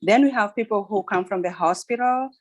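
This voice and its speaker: A woman's voice: mid-range voice, fairly quick. A middle-aged woman with a Kenyan accent, speaking informally as a nurse on a panel over a video call. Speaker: nurse and job fair panelist.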